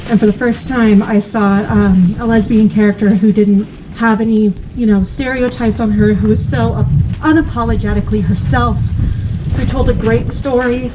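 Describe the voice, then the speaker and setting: A young woman giving an earnest, halting tribute at an outdoor memorial by a lake. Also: a little high, fairly even intonation. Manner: earnest, halting, filler-heavy. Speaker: vigil attendee. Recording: dull audio with wind and boat noise.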